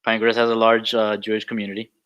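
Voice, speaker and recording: A man's voice: lowish voice, completely flat delivery, racing, a bit bright, clear, resonant tone, dull audio. A man co-hosting a podcast, chatting casually and conversationally. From Miami, Florida. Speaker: podcast co-host. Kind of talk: casual chat.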